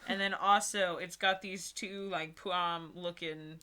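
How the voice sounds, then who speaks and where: Female comedy co-host, bright and forward tone, giggly, casual mock-critical banter. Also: fairly low pitch, fairly even intonation, slow, slight background noise. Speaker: co-host of a comedy video channel. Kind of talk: casual comic commentary, chatting with a friend.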